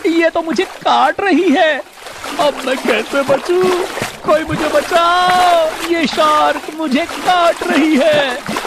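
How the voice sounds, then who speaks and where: A man's voice: very high voice, heavy background noise, full character voice. A man voicing a greedy, mocking village fisherman in a cartoon story. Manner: taunting, then panicky cries for help.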